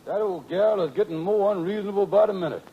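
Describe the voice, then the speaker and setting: Wisecracking idle hustler husband, high voice, folksy dialect, radio comedy, muffled noisy vintage recording. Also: male voice, fast talker. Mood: grumbling, scheming. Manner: folksy, ungrammatical dialect, drawling asides. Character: lazy, wisecracking scheming husband, lodge hustler. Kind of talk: 1940s radio comedy acting.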